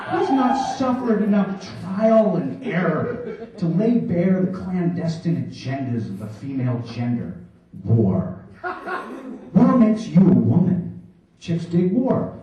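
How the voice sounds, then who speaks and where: A man performs poetry on stage in a declamatory, mock-serious way, his fairly high voice swinging widely in pitch. The sound is distant and very echoey, like a theater captured from the seats. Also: mellow. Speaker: poet reading on stage. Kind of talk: theatrical live poetry reading. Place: small theater in New York, before a laughing audience. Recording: echoey hall, distant dull microphone.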